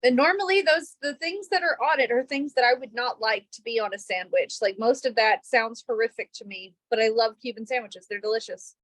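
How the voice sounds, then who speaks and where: A woman's voice: fairly high pitch, fairly quick, few pauses, a bit bright, no room sound, low-quality recording. A woman co-hosting a podcast, chatting in an easygoing, agreeable way over a video call. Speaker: podcast co-host. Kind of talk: casual chat. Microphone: recorded over a video call.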